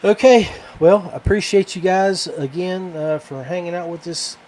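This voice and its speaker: A man hosting a fishing podcast in a casual, rambling, halting way that often trails off. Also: high voice, darkish tone, a little hiss or hum.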